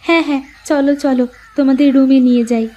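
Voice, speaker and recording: Woman playing a high-voiced kindly village housewife. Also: steady tone, fast talker, warm tone, highs cut off, natural voice. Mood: caring. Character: kindly, polite village housewife and sister-in-law. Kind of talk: cartoon story dubbing.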